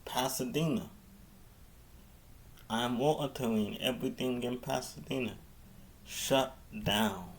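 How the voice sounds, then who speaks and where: A man giving an improvised public address as a mayor, halting and often repeating and restarting his phrases. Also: medium pitch, slight background noise.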